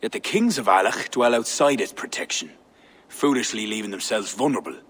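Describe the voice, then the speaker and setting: A stern, wary high king. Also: expressive, fairly bright tone, breathy edge. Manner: stern, measured, distrustful. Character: proud wary Irish high king, middle-aged. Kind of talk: video game cutscene acting.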